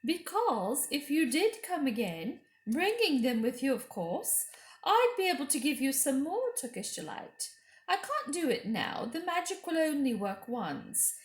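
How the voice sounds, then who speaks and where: A woman voicing a scheming, falsely sweet evil queen in a storybook reading, coaxing in a slow, regal manner. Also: high-pitched, sing-song, voice pushed up. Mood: luring, sly. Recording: roomy echo.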